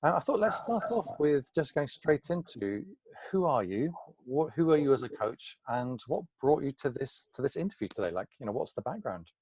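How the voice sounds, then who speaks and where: A man's voice: medium pitch, sing-song, normal speed, a little mellow, slightly rough, dry recording, poor audio. A man hosting an informal interview, curious and conversational, thinking aloud as he rephrases his questions. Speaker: interviewer, host.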